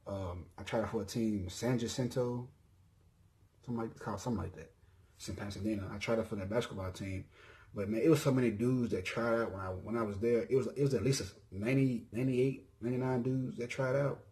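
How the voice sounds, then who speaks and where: Man, twenties, Southern accent, lowish voice, casual vlog chat, rambling, lots of ums. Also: fairly even intonation, quick pace, warm tone. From Mississippi. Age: 20s. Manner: laid-back, rambling, frequent ums and self-corrections. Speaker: YouTuber. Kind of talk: casual self-introduction vlog.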